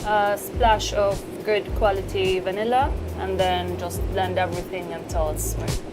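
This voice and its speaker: A woman chef walks through a recipe step by step in a measured, explanatory way, speaking at an ordinary pitch. Her tone is gently encouraging as she talks in a kitchen. Music plays clearly behind her. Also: level tone. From eastern Saudi Arabia. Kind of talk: cooking demonstration with calm step-by-step instructions. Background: background music.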